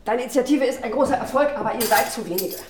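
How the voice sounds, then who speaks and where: A woman's voice: on the high side, very fast, distant mic, background noise, lightly acted. An actress playing a self-justifying middle-aged politician and mother in a radio play, self-assured yet placating. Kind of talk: German radio play acting. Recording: echoey room, off mic, loud clinking dishes.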